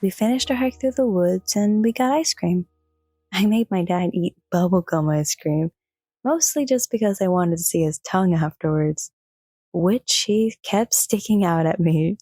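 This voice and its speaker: A woman's voice: on the low side, normal speed, warm tone, no room sound, clean studio sound, faint music behind, lightly acted. A woman playing a thoughtful young daughter in an audio drama, speaking hesitantly and wistfully. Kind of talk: audio drama acting and narration.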